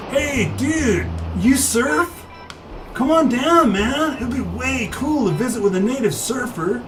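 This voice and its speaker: A man playing an easygoing alien surfer with a stoner teen voice, drawing out his excitable surfer slang. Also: extremely high pitch, slow pace, over music, voice pushed up, exaggerated voice. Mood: friendly, chilled.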